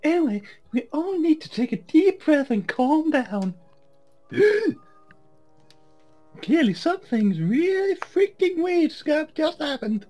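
A man putting on a high falsetto to voice a bossy woman scientist character while reading a visual novel aloud. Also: sing-song, takes it slowly, dark tone, faint music behind, exaggerated voice. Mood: urgent. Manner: shrill falsetto when voicing the character.

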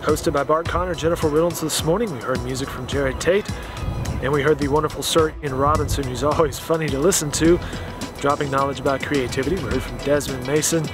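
A man reporting the news in a brisk voice-over. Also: on the high side, steady tone, over music.

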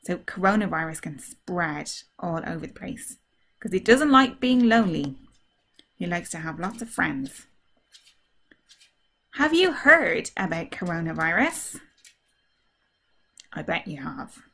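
A woman drama teacher reading a story aloud to children, asking them simple questions in an animated, child-directed way. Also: lowish voice, unhurried, gravelly, pauses often. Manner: animated, simple child-directed talk, acting out feelings and sounds.

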